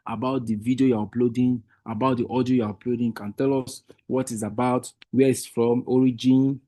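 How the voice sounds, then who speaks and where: A man's voice: mid-range voice, level tone, fairly quick, mellow, no room sound. Man talking through an online tutorial. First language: Igbo. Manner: patient step-by-step explaining with restarts. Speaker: workshop trainer. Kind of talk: screen-share tutorial walkthrough.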